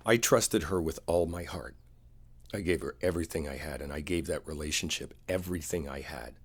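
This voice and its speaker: A man playing a wounded, devoted boyfriend in an intimate audio roleplay, speaking in a halting, confiding, earnest way. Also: very deep voice, husky, heavily acted. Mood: subdued, hurt. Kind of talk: boyfriend ASMR roleplay, intimate one-sided dialogue.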